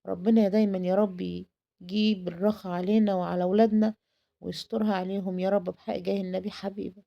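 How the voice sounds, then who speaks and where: A woman's voice: mid-range voice, flat delivery, fast talker, vocal fry, muffled recording. A woman with an Egyptian Arabic accent in a chatty, effusive cooking vlog, her voice tired, repeating words for emphasis. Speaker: home cooking vlogger.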